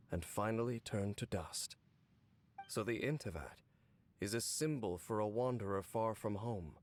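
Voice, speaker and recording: A man voicing a brooding, knowing wanderer in a video game, speaking in a measured, grave and cool way. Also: fairly low pitch, a little mellow. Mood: solemn, wistful. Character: brooding, knowing male wanderer from a fallen nation. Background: game music.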